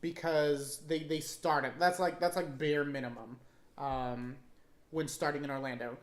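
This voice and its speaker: A man in his thirties co-hosting a casual advice chat, animated and joking, quick to laugh. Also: a little high, reverberant. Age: 30s. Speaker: real estate agent, co-host. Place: indoor room set, seated at a table.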